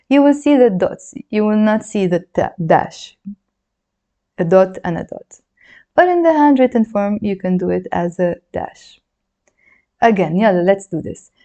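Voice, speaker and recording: A woman's voice: on the low side, warm tone. A woman who is a native Arabic speaker with a Lebanese accent, teaching handwriting in a chatty, encouraging way and counting along as she writes. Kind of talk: handwriting lesson, demonstrating while talking.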